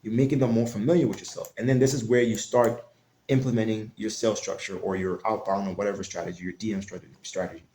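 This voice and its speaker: A man's voice: on the low side, fairly even intonation, fast, warm tone, slight background noise. A man explaining online sales tactics in a loose, conversational tutorial, often restarting his sentences. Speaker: online marketing coach. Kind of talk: casual tutorial talk. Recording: echoey room.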